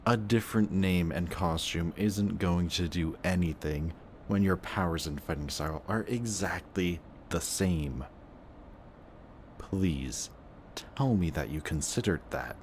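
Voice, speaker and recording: Male actor as brooding villain best friend, extremely low voice, slow-paced, sardonic, protective, audio roleplay drama. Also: slight background noise. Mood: upset, worried. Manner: sardonic, casual, intense. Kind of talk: emotional audio roleplay acting.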